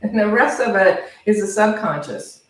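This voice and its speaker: A woman's voice: mid-range voice, expressive, normal speed. A woman minister giving an informal spiritual teaching talk, conversational and self-deprecating, repeating words for emphasis. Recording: very echoey room, distant microphone.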